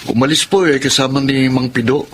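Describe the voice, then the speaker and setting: A man's voice: mid-range voice, fairly even intonation, brisk, noisy background, lightly acted. A man playing a respectful young student grandson with a Filipino accent, talking earnestly in radio drama style. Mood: polite, earnest. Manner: polite, earnest.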